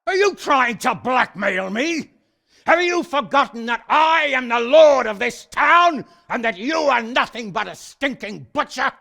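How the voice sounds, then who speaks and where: An actor playing a gruff, quick-tempered and shrewd middle-aged medieval lord in a video game, with a gravelly, husky voice and a commanding, hearty manner. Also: male voice, very high voice, expressive, bright, forceful tone, no room sound, studio quality, exaggerated voice. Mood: furious. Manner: commanding, colourfully cursing, hearty. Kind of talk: video game character acting.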